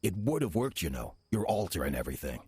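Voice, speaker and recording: Casting: sly demon in horror audio drama, low raspy voice, swooping inflection, mock-polite. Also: male voice, big pitch swings. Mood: sly politeness. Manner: measured, insinuating, mock-polite. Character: sly, smooth-talking demon posing as lovable old church deacon.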